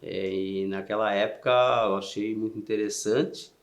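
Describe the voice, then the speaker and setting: A man in his fifties with a São Paulo accent answers interview questions in a soft-spoken, halting way. His voice sits somewhat low, and he often trails off with "né". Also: completely flat delivery. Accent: São Paulo Portuguese. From São Paulo, Brazil. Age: 50s. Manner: soft-spoken and halting, with frequent "né" tags and restarts. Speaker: interview guest, Paralympic swimmer.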